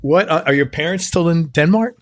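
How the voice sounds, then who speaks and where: A man's voice: on the high side, a little fast, dry recording. A man interviewing a guest, asking short questions and giving brief reactions. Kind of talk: casual interview.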